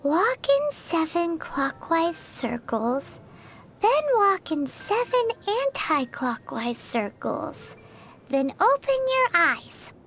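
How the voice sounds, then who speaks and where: Very high, squeaky fairy sidekick. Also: female voice, big pitch swings, takes it slowly, a bit bright, slight background noise, exaggerated voice. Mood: playful. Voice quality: squeaky, childlike. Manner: cutesy, refers to self in third person. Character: tiny chirpy childlike female fairy companion, cheeky and excitable. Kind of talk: video game character voice lines.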